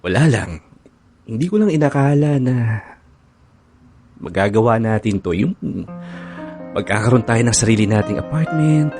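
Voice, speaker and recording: A man's voice: medium pitch, animated, moderate pace, pauses often, a little mellow, natural voice. A man with a Filipino accent playing a playful, doting young boyfriend in a conversational audio drama. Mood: contented. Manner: teasing, sweet, earnest. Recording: background music under dialogue.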